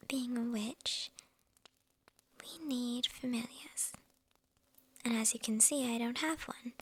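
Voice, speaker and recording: A woman playing a lonely, possessive witch in an ASMR roleplay, whispering in a breathy, creaky voice with sly, coy amusement. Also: a little high, fairly bright tone, exaggerated voice. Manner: unhurried, coy, amused, addressing the listener intimately. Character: lonely, possessive young witch, slyly menacing and teasing.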